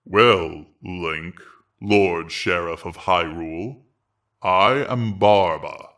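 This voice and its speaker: Giant serpent villain, very deep, very slow, powerful, courtly, condescending, fantasy audio drama. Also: male voice, fairly bright tone, full character voice. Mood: imperious. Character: huge ancient fire serpent, polite, menacing lord of his cave.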